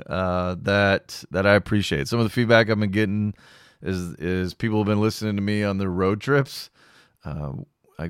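Deep-voiced man hosting a podcast. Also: clean tone, dry recording, studio quality. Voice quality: calming voice. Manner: relaxed, rambling, with frequent restarts and self-deprecating humor. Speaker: podcast host. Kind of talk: casual solo podcast monologue.

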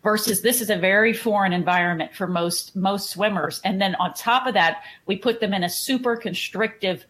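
A woman's voice: mid-range voice, normal speed, hardly any pauses, fairly bright tone. A woman with a Texan accent, a triathlon coach chatting in a podcast interview and often repeating words as she starts a thought. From Houston, Texas.

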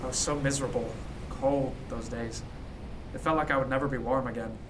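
An actor playing a reflective, modest sea captain of the early 1700s in a radio drama, measured and halting. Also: male voice, medium pitch, fairly even intonation, noisy background, natural voice. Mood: somber, reminiscing. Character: reflective, modest sea captain in 1717, former cabin boy. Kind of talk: period radio drama acting, storytelling.

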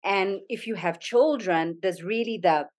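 A woman's voice: medium pitch, unhurried. A middle-aged woman from Zambia, a yoga studio owner and podcast guest, speaking earnestly and thoughtfully with frequent restarts. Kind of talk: reflective conversational interview.

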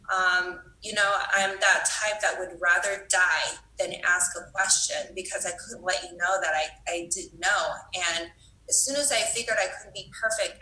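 A woman from Taiwan telling her own story at a recovery meeting, candid and self-deprecating, with plenty of "um" and "you know." Her voice has a bright, forceful edge. The room around her rings with echo. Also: mid-range voice. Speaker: AA meeting speaker. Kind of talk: personal recovery talk.

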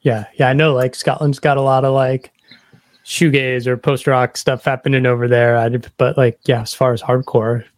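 A man's voice: mid-range voice, flat delivery, moderate pace, no room sound, studio quality. A man hosting a podcast, asking questions in an easygoing way and affirming often. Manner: easygoing, affirming with frequent "yeah"s. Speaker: podcast host. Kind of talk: casual interview chat.

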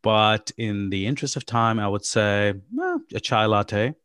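Lowish voiced guy from pakistan, startup founder rambling on a podcast, lots of ums, dry close mic. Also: lively intonation, measured pace, a bit bright, clean tone, no room sound. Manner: rambling, many ums and uhs, friendly. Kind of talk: casual interview chat.

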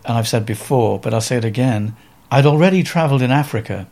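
Welsh guy around 70, halting thoughtful storyteller, lots of ums, average pitch. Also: darkish tone. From Wales. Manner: thoughtful, halting, with many fillers and restarts. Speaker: photographer guest. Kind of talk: reflective interview storytelling.

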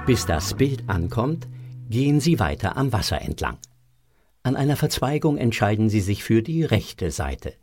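A man's voice: fairly low pitch, big pitch swings, moderate pace, darkish tone, breathy edge, soft background music. A man narrating a children's audio drama in a scripted storybook style.

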